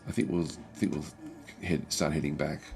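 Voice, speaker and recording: A man with a croaky, recovering voice giving casual, halting livestream commentary as he plays a strategy game and chats with viewers. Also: very deep voice, steady tone, a little mellow. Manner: halting, thinking aloud. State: croaky throat, recovering from feeling unwell. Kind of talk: casual game commentary, reading and answering chat.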